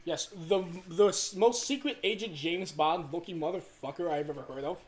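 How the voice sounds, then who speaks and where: A man co-hosting a casual podcast, laughing often and telling crude, lively stories. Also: fairly high pitch, normal speed. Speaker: podcast co-host. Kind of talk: casual banter.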